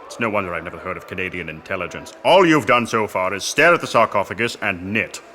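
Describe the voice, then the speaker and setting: A pompous, idle archaeologist played by a man in comic audio theatre. He grumbles fussily in a slightly low but sharp, forceful voice. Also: expressive, quick pace, slight background noise. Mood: smug. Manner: fussy, self-important.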